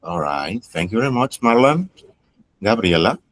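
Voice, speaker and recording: A man's voice: on the low side, very animated, moderate pace. A male English teacher calling students by name in a class and thanking each one briefly.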